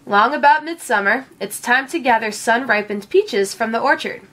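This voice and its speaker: A woman with a fairly high voice reads a picture book aloud. Her delivery is measured and expressive, shaped as storytelling for children. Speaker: read-aloud reader.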